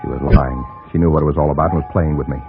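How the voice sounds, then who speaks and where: An American actor playing a desperate newspaper reporter, narrating in urgent, hard-boiled radio noir style. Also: male voice, rumbling low, fairly even intonation, racing, gravelly. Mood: grim, anxious. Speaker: lead actor and first-person narrator. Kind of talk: vintage radio noir acting. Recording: dull old radio broadcast with music.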